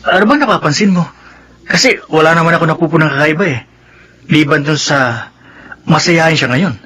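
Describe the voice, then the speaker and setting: Younger brother, Filipino, high-pitched, easygoing, radio drama, background noise. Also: male voice, fairly quick, bright, forceful tone. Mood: casual, reasonable.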